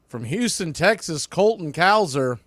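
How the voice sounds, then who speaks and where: A man's voice: a little high, expressive, measured pace, fairly bright tone, no room sound. A man doing live baseball play-by-play in clipped short phrases, with casual asides.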